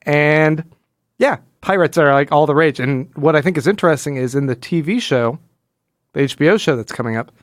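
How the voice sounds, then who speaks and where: A man's voice: a little high, a little fast, no room sound, clean studio sound. A man co-hosting a podcast, talking casually through a comic book in a rambling, explanatory way. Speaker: podcast co-host.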